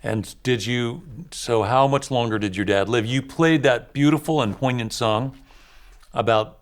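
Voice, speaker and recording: A man hosting a radio interview, asking calm questions and giving quiet affirmations, recorded in a studio. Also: medium pitch, a little slow. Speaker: radio show host. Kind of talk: interview questions, brief backchannels.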